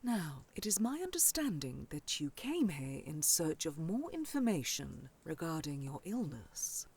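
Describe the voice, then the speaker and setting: A woman's voice: low voice, big pitch swings, a little slow, darkish tone, husky, no room sound, heavily acted. A woman playing a gracious, affectionate noble aunt in a fantasy audio drama, with stately and formal speech. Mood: tender, reassuring. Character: gracious, doting noble aunt of mature years.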